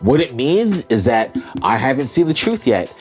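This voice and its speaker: A man's voice: medium pitch, normal speed, faint music behind. A man co-hosting a podcast in casual chat, making his points with emphasis and questions. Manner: animated, argues with emphasis, asks questions. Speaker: podcast co-host.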